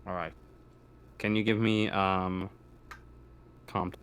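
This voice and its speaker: A man with a lowish voice runs a tabletop roleplaying game as game master. He pieces his descriptions together haltingly as he goes, and there is noticeable background noise on the recording. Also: flat delivery, a bit bright. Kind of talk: improvised narration of a tabletop sci-fi roleplaying game.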